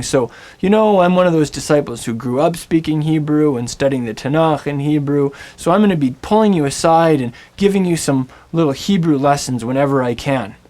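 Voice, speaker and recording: A man teaching a Bible language lesson, speaking in a scripted, storytelling way with slow, deliberate phrasing and dramatic pauses. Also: on the high side, a little mellow. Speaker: Biblical Hebrew teacher.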